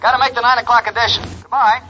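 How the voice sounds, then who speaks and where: An actor in a 1940s radio comedy playing a prying newspaper reporter with a brisk, smooth manner. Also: male voice, extremely high pitch, little pitch movement. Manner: brisk, probing. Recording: noisy, muffled 1940s radio recording.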